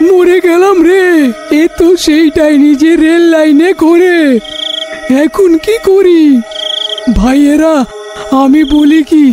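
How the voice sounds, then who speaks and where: A man voicing frightened, excitable village men in an animated horror fairy tale, exclaiming and pleading. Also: very high voice, takes it slowly, soft background music. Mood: panicked.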